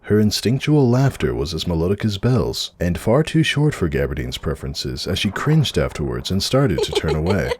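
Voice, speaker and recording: A man's voice: deep voice, big pitch swings, normal speed, hardly any pauses, a little mellow, a little hiss or hum. A man reading a story aloud as a dramatic, scripted narrator. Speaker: narrator of a fan fiction reading.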